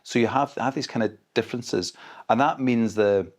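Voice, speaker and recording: A Scottish man speaking as an expert guest, explaining things in a measured, careful, conversational way. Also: mid-range voice. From Scotland.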